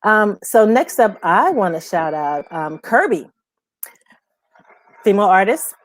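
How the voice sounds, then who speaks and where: A woman's voice: medium pitch, big pitch swings, measured pace. A woman co-hosting a music talk show, chatting casually with emphatic, slangy enthusiasm. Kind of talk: casual, unscripted chat.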